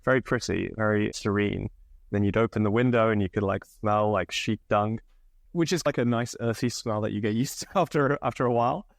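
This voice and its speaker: A man in his thirties with an English accent, slightly northern, telling stories from his life as a podcast guest, relaxed with lots of fillers. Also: fairly low pitch, fairly quick, vocal fry, no room sound, studio quality. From England. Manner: relaxed, many fillers, laughs often. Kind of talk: candid conversational storytelling.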